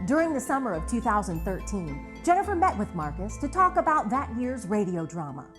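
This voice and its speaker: Woman, documentary narrator, high voice, animated pitch, music behind. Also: a little fast. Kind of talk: scripted narration.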